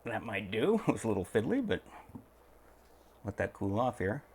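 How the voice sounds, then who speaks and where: A man with a low-pitched voice hosts a casual repair tutorial. He talks in halting phrases, musing to himself as his hands are busy. The delivery is unscripted and practical. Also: very animated, gravelly, darkish tone, slight background noise. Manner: halting, musing aloud while working. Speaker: host of a repair tutorial channel. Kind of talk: casual hands-on how-to commentary.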